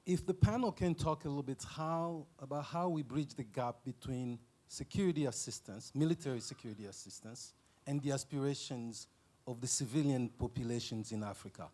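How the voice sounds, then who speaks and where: A man's voice: medium pitch, moderate pace, a little mellow. A man who works at a research institute, asking a conference panel a pointed question. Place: conference hall.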